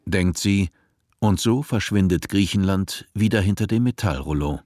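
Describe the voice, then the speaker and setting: A man narrating a radio play in a measured, literary and composed manner. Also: sits low, a little mellow, no room sound, clean studio sound.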